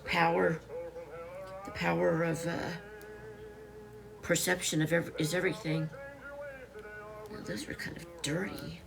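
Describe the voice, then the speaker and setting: A woman's voice: fairly low pitch, flat delivery, a little slow, vocal fry, long pauses, a crowd in the background. A woman in her late fifties chatting casually on a home live stream, greeting viewers by name while she lists items to sell. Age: 50s. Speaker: online seller live-streaming.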